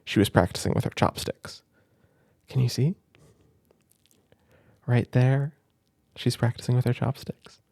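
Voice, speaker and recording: A man speaking in a gentle, doting, playful way, as in a soft ASMR roleplay where a caring big brother reads a children's book aloud. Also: medium pitch, a little slow, gravelly, long pauses, no room sound, studio quality. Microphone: close microphone, dry room.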